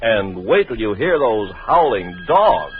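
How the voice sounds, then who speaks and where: A man's voice: lowish voice, big pitch swings, takes it slowly. A man hosting an old radio drama, teasing listeners in a theatrical manner. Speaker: radio show host. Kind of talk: scripted intermission talk. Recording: dull old radio recording with music.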